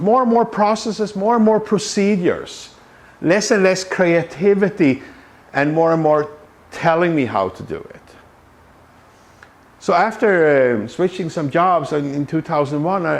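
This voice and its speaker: A man's voice: fairly high pitch, vocal fry. Man giving a casual talk. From Sweden. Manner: conversational, frequent fillers and restarts. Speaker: Scrum trainer. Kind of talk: conference talk. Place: meetup room.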